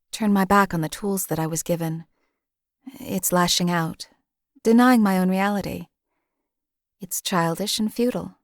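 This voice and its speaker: A woman voicing a cold, calculating female assassin companion in a game, with a calm, detached, clipped delivery. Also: lowish voice, dry recording, studio quality, close to an everyday voice. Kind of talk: game voice lines.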